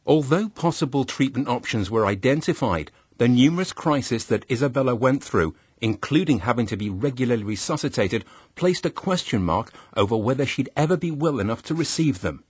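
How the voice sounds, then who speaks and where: A man with a husky voice, reading scripted documentary narration. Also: medium pitch, animated, a little fast, few pauses, no echo, clean studio sound.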